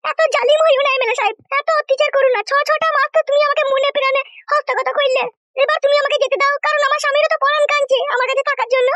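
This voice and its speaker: A woman's voice: extremely high pitch, fairly even intonation, rapid-fire, fairly bright tone, no room sound. A woman voicing an indignant, foul-mouthed wife in a comic cartoon. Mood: pleading. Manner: scolding, threatening, heavy rustic swearing.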